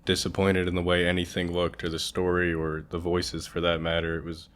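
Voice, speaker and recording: A man's voice: low-pitched, monotone, fairly quick, fairly bright tone. A young man giving his opinions as co-host of a casual, conversational movie review. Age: young adult.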